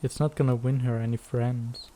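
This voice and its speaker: Man playing a mellow, sardonic teenage schoolboy Oz. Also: mid-range voice, little pitch movement, moderate pace, a little hiss or hum, close to an everyday voice, rough recording. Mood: concerned, challenging. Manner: dry, sarcastic. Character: snarky, laid-back teenage schoolboy Oz, skeptical but caring. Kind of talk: fan dub comic drama acting.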